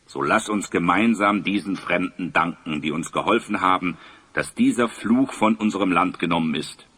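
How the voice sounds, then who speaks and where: An actor playing a just, dignified new regent in a fantasy audio play, speaking in stately declamation. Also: male voice, low-pitched, normal speed, a bit bright, husky. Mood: forgiving, grave.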